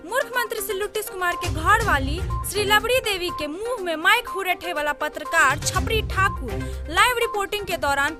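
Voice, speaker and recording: A woman with a Maithili accent from Bihar voicing a formal news anchor in a mock cartoon bulletin. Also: very high-pitched.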